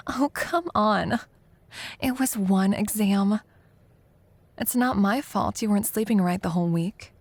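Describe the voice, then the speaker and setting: A young woman playing a competitive college student in an intimate audio roleplay, a teasing, prickly but secretly fond rival who talks haltingly. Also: mid-range voice, no room sound. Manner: teasing, halting, flustered deflection.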